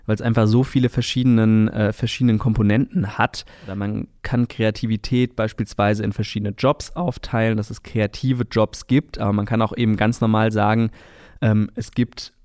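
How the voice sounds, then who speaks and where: A man's voice: fairly low pitch, flat delivery, normal speed, darkish tone, dry recording, studio quality. A man co-hosting a podcast in a conversational style. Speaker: podcast co-host.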